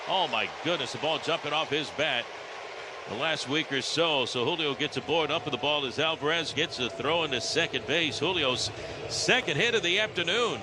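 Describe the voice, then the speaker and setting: A man's voice: fairly high pitch, moderate pace. American man calling baseball, noisy broadcast. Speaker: baseball radio play-by-play announcer. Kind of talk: live play-by-play commentary. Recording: clearly noisy live ballpark radio broadcast.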